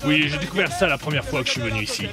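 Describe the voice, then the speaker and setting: A man's voice: on the high side, expressive, rapid-fire, loud music behind the voice, lightly acted. A man voicing a cocky pirate captain who answers dryly and impatiently. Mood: sarcastic. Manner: dry, impatient, curt.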